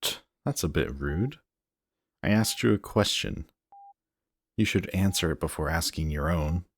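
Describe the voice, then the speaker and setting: A man's voice: low voice, unhurried, a little hiss or hum. A man playing a smug, guarded supervillain in an audio roleplay, teasing and commanding, grudgingly protective of the person he is addressing. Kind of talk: scripted roleplay audio drama addressed to the listener.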